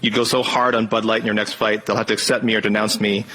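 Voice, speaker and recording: Quick, low-voiced male reporter. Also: flat delivery, pushed and bright, slight background noise, rough recording. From Canada. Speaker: reporter asking questions. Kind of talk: press conference questioning.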